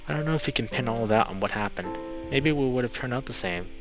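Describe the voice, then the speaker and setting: A man's voice: medium pitch, expressive, fast talker, close to an everyday voice. A man voicing a young male visual novel character, a casual, reassuring friend who is tentative but frank. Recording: slightly dull mic, music behind.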